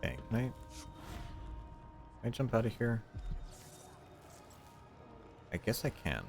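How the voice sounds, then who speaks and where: A man's voice: mid-range voice, very animated, normal speed, vocal fry, faint music behind. A man from Mexico with a Mexican accent, giving casual, sarcastic and profane commentary while streaming a game. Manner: sarcastic, profane, self-mocking.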